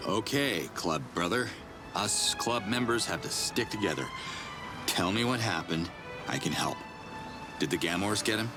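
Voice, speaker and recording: Deep-voiced wisecracking game hero. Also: male voice, animated, unhurried, music in the background. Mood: probing. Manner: sarcastic, casual, cocky. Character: wisecracking human man, brash heroic outsider. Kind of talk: video game cutscene acting.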